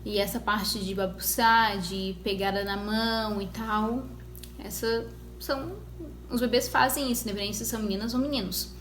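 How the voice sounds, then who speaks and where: A woman's voice: medium pitch, fairly even intonation. Chatty mother in an echoey room. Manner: conversational storytelling with many pauses and restarts. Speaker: mother sharing her experience. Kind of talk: casual personal vlog. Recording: echoey room with faint noise.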